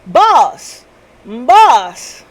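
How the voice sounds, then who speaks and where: A woman voicing an excitable, cheeky subordinate detective in a visual novel, exclamatory and animated as they fret over their boss. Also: high-pitched, drawn-out words, heavily acted. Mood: exasperated, worried.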